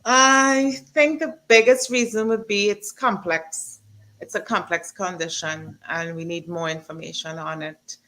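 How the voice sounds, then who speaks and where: A woman from Trinidad, a doctor, answering health questions in an interview with a measured, explanatory manner. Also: fairly low pitch, steady tone, fairly bright tone. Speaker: doctor guest.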